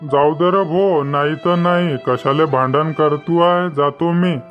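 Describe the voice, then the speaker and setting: A helpless old man of the village, acted by a man in a cartoon comedy. His rather high voice is plaintive and resigned, with a rural Maharashtrian accent, on a dull recording. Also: steady tone, unhurried, soft background music, close to an everyday voice. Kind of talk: comic cartoon dialogue. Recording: dull, lo-fi sound.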